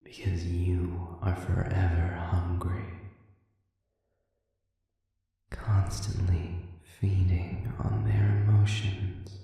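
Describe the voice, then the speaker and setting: A performer voicing a sadistic, manipulative demon in a scripted audio roleplay, silkily taunting with slow, deliberate pauses and breathy near-whispers. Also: sits low, little pitch movement, exaggerated voice. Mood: coolly persuasive. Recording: echoing hall reverb, distant dark sound.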